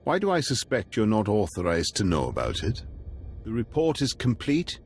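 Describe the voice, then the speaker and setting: A man playing a weary, courteous but guarded imperial governor in a video game, speaking in a measured, formal way. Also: fairly low pitch, huge pitch swings, husky, exaggerated voice. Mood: guarded, dry. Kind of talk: video game cutscene acting. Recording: music behind the voice.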